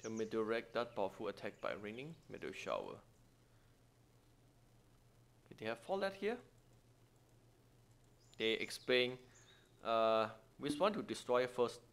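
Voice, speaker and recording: A man's voice: medium pitch, very animated, measured pace, gravelly, long pauses, a little hiss or hum. A man giving casual gameplay commentary in short exclamatory bursts, with non-native English phrasing. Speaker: gaming YouTuber. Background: game music.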